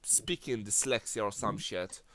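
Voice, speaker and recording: A man's voice: on the low side, unhurried, a crowd in the background. A man from Poland co-hosting a casual podcast chat, rambling with lots of "um" and "you know" and trailing off into mutters. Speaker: podcast co-host.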